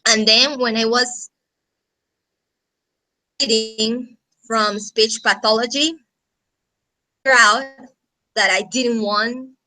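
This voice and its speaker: A woman's voice: fairly high pitch, steady tone, slow pace, pauses often, sharp tone, rough recording. A woman in her thirties from Colombia, a native Spanish speaker with a Colombian accent, informally introducing herself as a guest teacher on a video call. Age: 30s. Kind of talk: informal self-introduction to a class.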